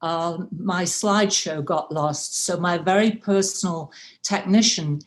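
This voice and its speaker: A woman with a Welsh accent and a fairly low voice talks her club through her background. She is chatty and self-deprecating, with plenty of uhs, heard over a video call. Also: measured pace. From rural North Wales. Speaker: club member giving a talk. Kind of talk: personal presentation at a club meeting.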